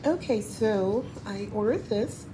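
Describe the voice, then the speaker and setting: A woman giving a casual unboxing review in a hesitant, unhurried manner. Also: medium pitch, animated. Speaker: customer reviewer. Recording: very noisy amateur recording with ambience.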